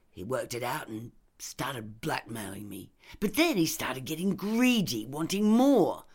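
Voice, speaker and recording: A woman's voice: low-pitched, exaggerated voice. A woman voicing a scornful, ranting middle-class female murderer in an audiobook, sneering and emphatic. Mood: cold confession. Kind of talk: audiobook character dialogue.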